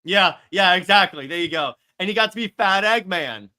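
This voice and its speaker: A man's voice: high voice, animated, moderate pace, background noise. A man co-hosting a podcast, chatting casually about a movie and often chiming in to agree. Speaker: podcast co-host.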